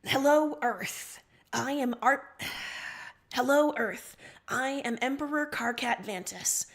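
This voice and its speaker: Woman voicing an awkward young alien emperor, higher-pitched. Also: slow pace. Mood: nervous, formal.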